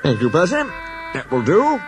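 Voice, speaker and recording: A stout railway boss who speaks in a calm, measured way, a touch higher than the storyteller, as a man puts him on. Music runs under the reading. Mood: kindly.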